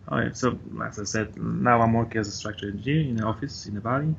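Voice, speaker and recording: A young man from Poland with a Polish accent, chatting as an interview guest, halting and full of fillers. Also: mid-range voice, vocal fry, highs cut off, a little hiss or hum. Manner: halting, uses many fillers like "like". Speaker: guest, gym member. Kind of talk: casual interview chat.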